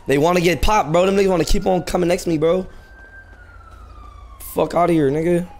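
A man's voice: high-pitched, fairly even intonation, brisk, a little mellow. A man streaming street-crime game roleplay, talking in confrontational slang and insistently repeating himself over some noise.

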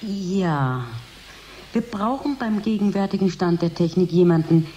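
Lively-pitched female research doctor. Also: measured pace, lo-fi sound. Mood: matter-of-fact. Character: female doctor, research section head, interviewed on radio. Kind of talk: radio play interview. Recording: noisy 1980s radio recording.

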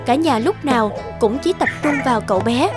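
High-pitched woman narrating a children's tale. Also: expressive, a little fast. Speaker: cartoon narrator. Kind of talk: scripted storybook narration. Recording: music behind the voice.

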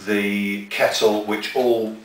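A man's voice: fairly low pitch, flat delivery, slow, recorded from a distance, a little hiss or hum. Man demonstrating gear in echoey room. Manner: methodical, explains step by step while handling gear. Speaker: outdoor gear presenter. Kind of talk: hands-on equipment demonstration. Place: small indoor room with table of gear. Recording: echoey room, mic set back.

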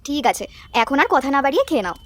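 A woman's voice: high-pitched, sing-song, racing, a bit bright, no room sound. A woman voicing a hardworking, cheerful young village wife in a cartoon, eager and affectionate. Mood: welcoming, grateful. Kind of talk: cartoon dubbing.

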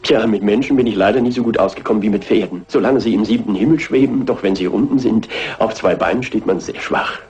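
An actor playing a gallant, earnest horse-loving gentleman suitor in a German radio crime drama, husky, courtly and sentimental. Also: fairly low pitch, fast. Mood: earnest, courting.

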